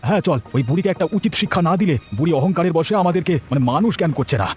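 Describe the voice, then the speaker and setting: A man's voice: fairly high pitch, lively intonation, rapid-fire, a little mellow, breathy edge, soft background music. A man voicing a scheming village accomplice in a cartoon folk tale, urging and conspiratorial. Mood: indignant. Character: scheming, cautious village accomplice, easily riled.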